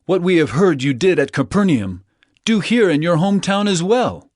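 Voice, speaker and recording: Solemn preacher, played by a man: authoritative, declamatory, wide pitch movement, dry close sound. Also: very animated, no room sound. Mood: solemn, earnest. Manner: declamatory, measured, earnest. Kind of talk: dramatized scripture reading.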